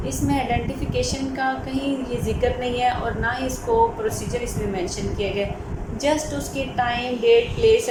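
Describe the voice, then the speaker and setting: A woman with a Pakistani accent giving an informal legal lecture, explaining step by step and mixing in English legal terms. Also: on the high side. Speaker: advocate teaching law. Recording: echoey room, clear background noise.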